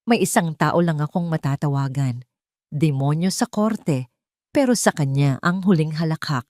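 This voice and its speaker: A woman's voice: sits low, moderate pace, darkish tone, dry recording, studio quality, lightly acted. A woman voicing a shrewd father giving grave advice in an audio drama. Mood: grave, admiring. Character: shrewd father advising his daughter, remembered.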